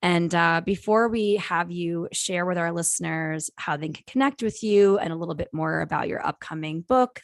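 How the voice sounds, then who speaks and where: A woman's voice: on the low side, vocal fry, dry recording, studio quality. A woman co-hosting a podcast, effusive and encouraging as she guides the guest. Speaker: podcast co-host. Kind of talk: casual interview hosting.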